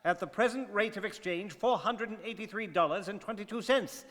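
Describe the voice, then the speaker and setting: A man playing an imperturbable gentleman traveller in a radio drama, speaking in a clipped, formal, precise manner. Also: high voice, a little fast. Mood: insistent, unruffled. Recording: reverberant hall-like studio.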